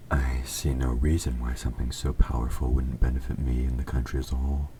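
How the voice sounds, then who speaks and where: Evil fantasy king for audio drama, played by a man: extremely deep, dark tone, measured courtly diction, smooth-talking with quiet menace. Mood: pleased, scheming. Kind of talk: scripted audio roleplay addressed to the listener. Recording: faint background noise.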